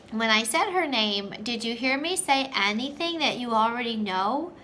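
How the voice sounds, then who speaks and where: A woman with a high-pitched voice tells a story to young children as their teacher. She goes slowly, phrasing things simply and putting questions to the listeners. There is faint noise behind her. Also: pushed and bright. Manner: simple, deliberate phrasing aimed at small children.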